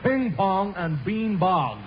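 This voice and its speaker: A man's voice: high-pitched, sing-song, takes it slowly, crowd noise, heavily acted. An actor playing an eager, oblivious upper-crust fop in a 1930s radio comedy, effusive and stammering keenly as he repeats pet phrases. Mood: enthusiastic. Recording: muffled, hissy old radio broadcast.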